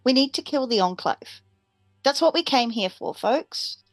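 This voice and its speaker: A woman's voice: high voice, lively intonation, unhurried, no room sound, faint music behind. A woman playing a tabletop roleplaying game, discussing moves in a casual, blunt and decisive way.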